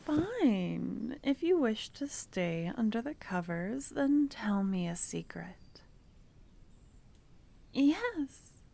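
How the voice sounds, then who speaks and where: A woman playing a young female court necromancer who is tenderly affectionate and playful with her lover, speaking softly and often in a whisper for an ASMR roleplay. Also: on the high side, very animated, very slow, gravelly, exaggerated voice. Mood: tender, teasing. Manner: soft, intimate, often whispered.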